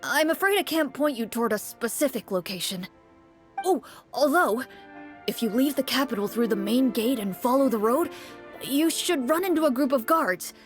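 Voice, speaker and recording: Worried young townsperson for a game, high-pitched, earnest, polite and a little hesitant. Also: female voice, lively intonation, moderate pace, faint music behind. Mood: earnest warning. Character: earnest, worried young city dweller pleading for help. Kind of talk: video game voice acting.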